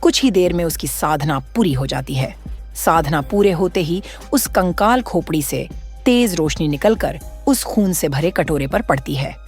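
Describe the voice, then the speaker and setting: A woman narrates a horror cartoon story with a lively, animated rise and fall in her pitch. Her delivery stays steady, with faint music in the background. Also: normal speed, breathy edge.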